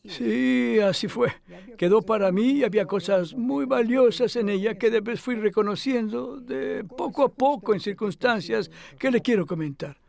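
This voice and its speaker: A man dubbing an elderly male scholar who recalls his past calmly, in measured speech with hesitant pauses. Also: high voice, creaky. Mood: reflective. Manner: measured, thoughtful, with hesitant fillers. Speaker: dub voice of an interviewee. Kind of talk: voice-over dub of an interview.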